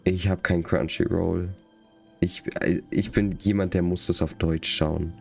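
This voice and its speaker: Male game streamer, low voice, casual chat and read-aloud dialogue, faint game music underneath. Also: flat delivery, unhurried, vocal fry, darkish tone. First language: German. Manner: chatting to viewers, reading subtitles aloud. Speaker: game livestreamer. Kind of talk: casual live gaming commentary.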